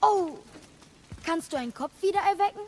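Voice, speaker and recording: A woman's voice: extremely high pitch, animated, normal speed, darkish tone. A woman playing a curious, earnest young boy, the son of a warrior, in a German audio drama. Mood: eager. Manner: inquisitive, earnest.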